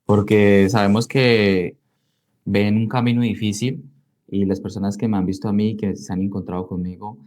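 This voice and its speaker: A man's voice: on the low side, flat delivery, moderate pace, darkish tone. A man in his twenties with a Colombian accent, telling his own story conversationally as an interview guest. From Cali, Colombia. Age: 20s. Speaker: interview guest, digital marketing entrepreneur.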